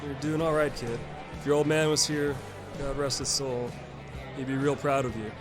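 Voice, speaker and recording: An actor playing a folksy, earnest retired uncle in an audio sketch comedy. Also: male voice, medium pitch, fairly even intonation, moderate pace, over music, close to an everyday voice. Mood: fatherly. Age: older. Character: retired fatherly uncle, earnest and matter-of-fact about monsters.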